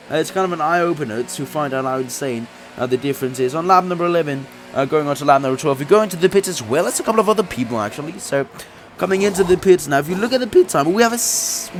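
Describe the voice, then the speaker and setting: A man's voice: fairly high pitch, slight background noise. Sick young man narrating a racing game. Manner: chatty, laughs often, many restarts. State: sick, voice a little off. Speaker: gaming YouTuber.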